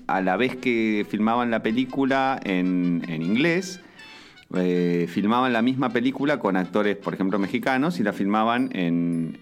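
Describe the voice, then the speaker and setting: Man, very low voice, rambling casual talk, soft music behind. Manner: rambling, many fillers and restarts. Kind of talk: casual podcast chat.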